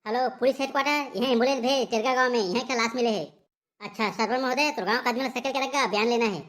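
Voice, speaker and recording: A woman's voice: fairly high pitch, fast, natural voice. A woman voicing a blustering, bullying village police inspector in a Chhattisgarhi comedy cartoon, with curt, scolding questions. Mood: officious.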